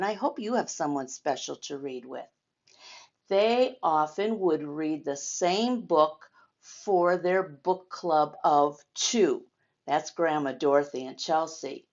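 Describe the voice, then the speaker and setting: Older lady reading a picture book to little kids, takes it really slow, bit of a dull lo-fi recording. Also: fairly low pitch. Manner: speaks directly to young listeners, explaining and pointing things out. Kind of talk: read-aloud story time with chatty asides to children.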